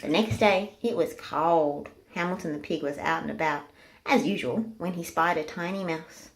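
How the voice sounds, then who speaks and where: A woman's voice: on the low side, moderate pace, poor audio. A woman narrating a picture book aloud for children in a storytelling style.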